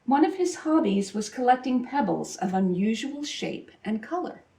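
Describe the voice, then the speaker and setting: Higher-voiced woman reading a storybook, roomy and distant. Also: sing-song, normal speed, vocal fry, mellow. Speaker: storybook reader. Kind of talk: expressive children's picture-book read-aloud. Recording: roomy echo, distant microphone.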